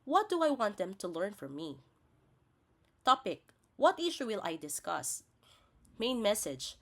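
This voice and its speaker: A woman's voice: medium pitch, big pitch swings, unhurried, fairly bright tone. A woman presents a scripted lesson as a teacher, spelling out each point in a didactic, step-by-step way. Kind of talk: scripted instructional narration.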